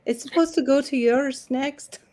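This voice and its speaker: A woman's voice: sits high, moderate pace, warm tone. A woman hosting a casual chat, teasing and joking playfully. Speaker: host.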